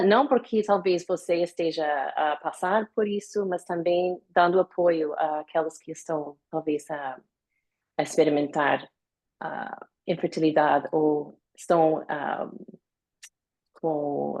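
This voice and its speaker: Slow, hesitant woman. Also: deep voice, fairly even intonation, vocal fry, a little mellow, rough recording. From United States. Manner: hesitant, with many fillers and pauses. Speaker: guest speaker. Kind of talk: live online talk. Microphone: video call.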